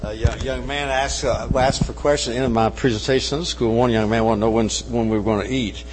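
A man's voice: medium pitch, steady tone, quick pace, in a large hall, a little hiss or hum, low-quality recording. An older man with a Kentucky accent, raised in Brazil, preaching as a guest missionary in a church on a wireless mic, folksy with joking asides. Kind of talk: sermon. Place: church service.

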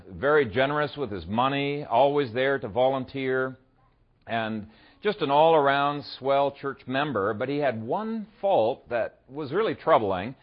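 A man from Ethiopia preaching a sermon, conversational and easygoing, often pausing with "uh" as he tells a story and explains scripture. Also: medium pitch, highs cut off. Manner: conversational storyteller, frequent "uh" fillers. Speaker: pastor. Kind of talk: sermon with a humorous opening story.

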